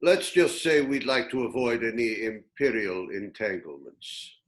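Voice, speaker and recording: Man playing a calm old warrior, medium pitch. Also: a little slow, natural voice. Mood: measured negotiating. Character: calm, courteous, wise old male warrior. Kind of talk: script table read.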